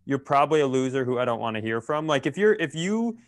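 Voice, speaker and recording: A man's voice: mid-range voice, very animated, fast, dry recording. A man ranting casually and comedically as a podcast host, exasperated and swearing freely. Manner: exasperated, profane.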